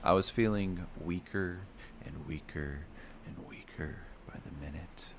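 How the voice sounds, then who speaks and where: A man with an extremely low voice reads a fantasy bedtime tale. His delivery is measured and dramatic, like a storyteller setting a grim scene. There is some background noise under the voice. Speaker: storyteller, narrator. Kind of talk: first-person bedtime fantasy story reading.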